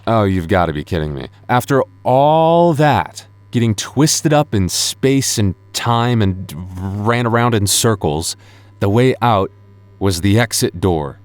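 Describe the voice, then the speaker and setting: Deep-voiced, earnest young boyfriend played by a man. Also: animated. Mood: exasperated, incredulous. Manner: intimate, halting, stammering. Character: devoted, earnest young boyfriend on a haunted adventure. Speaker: voice actor. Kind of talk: boyfriend ASMR audio roleplay.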